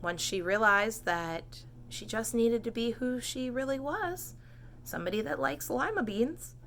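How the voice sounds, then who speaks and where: Female storybook narrator, teacher type: bright tone, animated emphasis, pitched for young children. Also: measured pace, vocal fry, a little hiss or hum. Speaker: teacher reading aloud.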